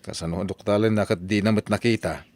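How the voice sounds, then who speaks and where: A man's voice: on the low side, fairly even intonation, quick pace, a little mellow, no room sound, natural voice. A man playing an inquisitive, exclamatory grown-up who questions schoolchildren, with an Ilocano accent, in a comedy radio drama. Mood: puzzled.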